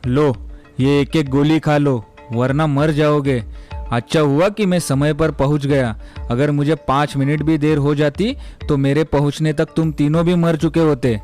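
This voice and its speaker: A man's voice: on the high side, steady tone, unhurried, darkish tone, soft background music, lightly acted. A man playing a shrewd, commanding merchant boss in a moral story, speaking with authority. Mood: confident, instructive. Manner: authoritative, explanatory. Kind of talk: acted moral story dialogue.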